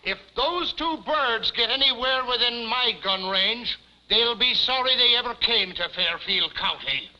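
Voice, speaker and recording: An American police chief blusters in vintage TV comedy style, with a high voice that swings emphatically up and down. He is hard-boiled and self-important yet courtly with ladies, on a dull 1950s soundtrack. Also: lively intonation, measured pace, few pauses, pushed and bright, heavily acted. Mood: officious, boastful. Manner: emphatic, officious, addresses woman formally as ma'am. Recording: muffled 1950s television soundtrack.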